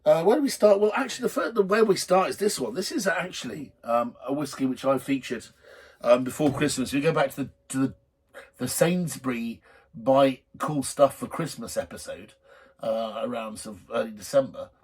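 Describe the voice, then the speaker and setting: A middle-aged man with a slightly husky voice hosting a drinks show in a rambling, jokey, blunt and sweary unscripted chat to camera. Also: mid-range voice, expressive, normal speed. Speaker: host of a drinks video show.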